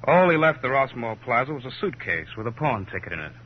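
An actor playing a blunt, sour, wisecracking detective in a 1950s radio crime drama, deadpan and needling. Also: male voice, medium pitch, fast, slightly rough. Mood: mocking. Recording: muffled vintage radio recording, faint hum.

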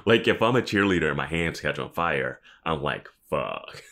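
Very deep-voiced, animated man chatting. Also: normal speed, gravelly. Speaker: podcast co-host. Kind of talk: casual chat about a TV show.

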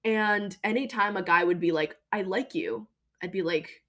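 A young woman hosting a podcast, talking casually and emphatically about her own life, with a voice she calls deeper than most women's. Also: normal speed, bright, forceful tone. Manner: chatty, emphatic, lots of fillers. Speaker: podcast host. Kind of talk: casual personal monologue.

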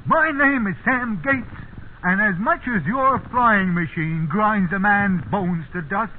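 Indignant old farmhand, rural English accent, very high pitch, rambling complaints, thin hissy vintage radio sound. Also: male voice, slow pace. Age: older. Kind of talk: 1950s radio drama acting. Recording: tinny, noisy 1950s radio recording.

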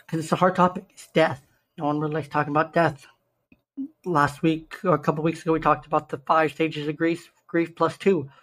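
A young man with a slightly raspy, hoarse voice, earnestly hosting a solo podcast and slowing down to stress key numbers. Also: a little high, level tone, fairly bright tone. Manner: earnest, stresses numbers slowly, self-interrupting restarts. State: hoarse from shouting the day before. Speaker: podcast host.

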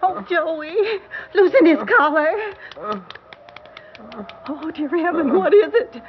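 Anxious high-voiced elderly grandmother. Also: slow. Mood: panicked. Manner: fretful, rambling, fussing. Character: anxious, doting elderly grandmother in an old house. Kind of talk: old-time radio drama acting. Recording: dull old radio recording with music.

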